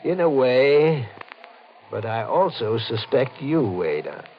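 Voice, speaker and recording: A man's voice: medium pitch, very animated, takes it slowly. An actor playing a suspicious, insistent man who questions pointedly in a 1950s radio mystery. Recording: muffled, noisy old 1950 radio recording.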